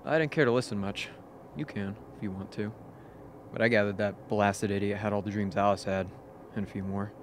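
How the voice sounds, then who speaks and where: A man plays a gruff, bitter member of an expedition crew in a radio drama. The voice sits low, with faint noise behind it. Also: steady tone, fairly quick, lightly acted. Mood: bitter, brooding. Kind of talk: amateur radio play acting.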